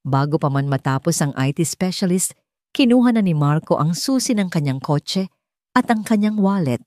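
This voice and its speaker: A Filipino woman narrates a dramatic audiobook story. Her voice sits low, and the recording is dry and close, with scripted phrasing throughout. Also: lively intonation, moderate pace, a little mellow, dry recording.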